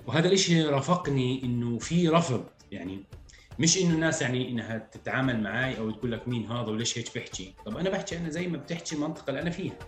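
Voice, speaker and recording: A man in his thirties with a rural Palestinian village accent, speaking as a podcast guest in a candid, reflective way and laughing easily. His voice rings in an echoey room, with faint music underneath. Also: mid-range voice. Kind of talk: casual podcast conversation.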